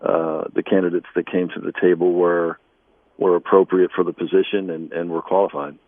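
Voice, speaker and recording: A man speaking as an interviewed basketball coach, conversational and measured, with halting pauses. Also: deep voice, little pitch movement, no room sound, phone-quality sound.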